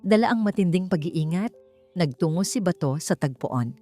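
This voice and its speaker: A woman reading a scripted, dramatic suspense love story in short, measured phrases. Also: on the low side, lively intonation, dark tone. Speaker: narrator of a story channel. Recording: clear music bed behind the voice. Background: background music.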